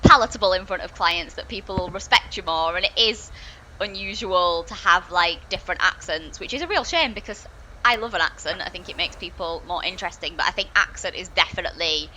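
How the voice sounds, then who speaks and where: A young woman with a soft Yorkshire accent, chatting earnestly on a podcast, circling back and restarting her thoughts as she goes. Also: on the low side, a little fast, a little hiss or hum, low-quality recording. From Yorkshire, England. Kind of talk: casual discussion.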